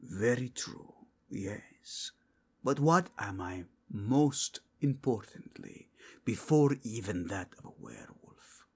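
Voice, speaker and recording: Guy doing solemn werewolf inquisitor cardinal, whispery n gravelly, slow formal talk, asmr roleplay. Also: fairly low pitch, animated, a little mellow, full character voice. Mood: stern, deliberate. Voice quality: breathy, whispery. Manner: formal, slow, deliberate rhetorical questioning.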